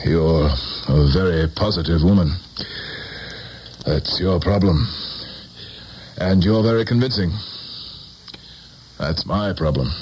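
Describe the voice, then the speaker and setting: A seasoned politician in a 1970s radio play, proud and cynical. His voice is deep and his delivery deliberate and self-assured, with some hiss on the old broadcast tape. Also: a little mellow, highs cut off. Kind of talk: 1970s radio drama acting.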